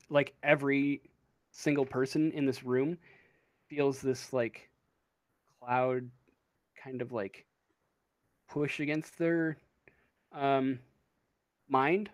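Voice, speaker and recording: Slow, halting male game master. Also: medium pitch, no room sound. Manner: halting, searching for words. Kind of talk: improvised tabletop game narration.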